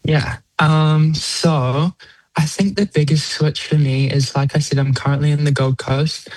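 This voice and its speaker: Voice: medium pitch, steady tone, measured pace, darkish tone, slight background noise, low-quality recording. A young adult athlete in their late teens with a New Zealand accent, answering interview questions conversationally and thoughtfully, with many small fillers. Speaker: competitive swimmer being interviewed.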